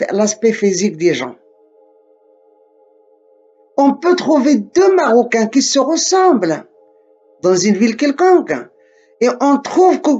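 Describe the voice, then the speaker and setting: A man from Morocco giving a slow, deliberate spoken lesson, often repeating and correcting himself. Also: extremely high pitch, darkish tone, muffled recording.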